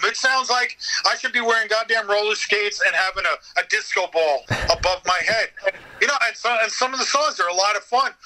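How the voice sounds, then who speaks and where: A man with a high, strikingly bright and forceful voice talks casually as an interview guest. He is enthusiastic and swears freely as he goes. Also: poor audio. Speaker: podcast guest, voice actor.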